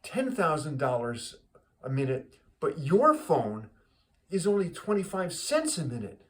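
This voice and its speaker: Man as a puzzled, halting traveling photographer. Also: a little high, wildly swooping pitch. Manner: halting, questioning. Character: curious, puzzled male photographer traveling abroad.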